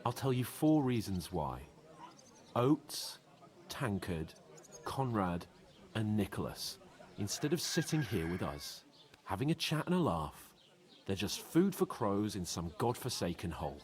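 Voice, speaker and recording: An actor playing a sharp-tongued young nobleman who grumbles and complains sarcastically. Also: lowish voice, very animated, a little slow. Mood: mournful.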